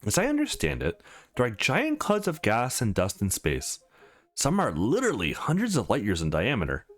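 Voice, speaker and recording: A man's voice: mid-range voice, wildly swooping pitch, normal speed. Man playing a confident, teasing young guy. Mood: easygoing. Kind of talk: visual novel character read-aloud.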